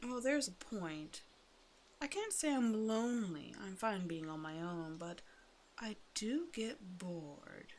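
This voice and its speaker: A woman's voice: medium pitch, lively intonation, slow, a little hiss or hum. A woman playing a predatory female naga in an ASMR roleplay, talking to the listener with teasing, condescending, casual menace. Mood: bored, musing. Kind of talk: ASMR roleplay, one-sided scripted dialogue to the listener.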